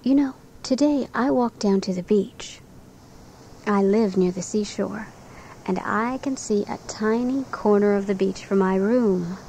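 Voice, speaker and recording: Female narrator for children's guided relaxation and bedtime storytelling: gentle, very unhurried delivery, audible background noise. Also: mid-range voice. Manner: gentle, soothing, unhurried instruction. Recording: clearly noisy recording with background ambience.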